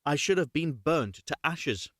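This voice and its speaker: A man's voice: a little high, lively intonation, a little slow, fairly bright tone, no room sound, clean studio sound. A man reading the part of a talking coal in a storybook, in formal, dramatic phrasing. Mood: relieved, grave. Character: talking coal, narrow survivor of the fire.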